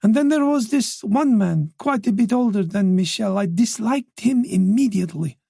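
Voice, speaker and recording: A man voicing an older mother who talks quickly and insistently. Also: high voice, lively intonation, creaky, dark tone, breathy edge, dry recording, studio quality, voice pushed up, full character voice. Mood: earnest. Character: worried older French mother, forthright and talkative.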